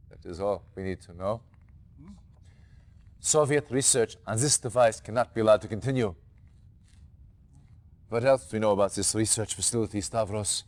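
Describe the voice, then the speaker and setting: An actor playing a grave, authoritative military commander in a video game cutscene, speaking in a stern, formal, deliberate way. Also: male voice, on the low side, a little mellow, natural voice. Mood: curt.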